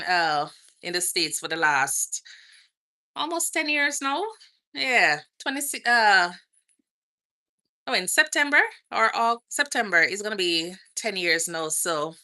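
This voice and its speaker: A woman's voice: lowish voice, expressive, unhurried, bright, forceful tone. A woman from Jamaica with a Jamaican accent, chatting casually on a livestream from outdoors and greeting viewers by name. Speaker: vlogger and nurse.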